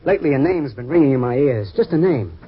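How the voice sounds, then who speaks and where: An actor playing an anxious retired ex-fighter who stammers and pleads, in 1940s radio acting. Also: male voice, mid-range voice, animated, fast talker, a little hiss or hum, natural voice. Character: anxious retired ex-fighter, plump, haunted by a name. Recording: dull old radio recording.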